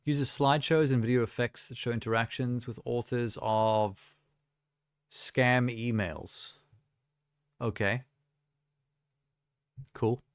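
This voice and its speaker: A man with a smooth, clear voice talks casually on a live stream, recorded close and dry. He is from South Africa. He reacts off the cuff with wry, mocking asides at an ordinary middle pitch. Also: fairly even intonation, takes it slowly, long pauses, no room sound.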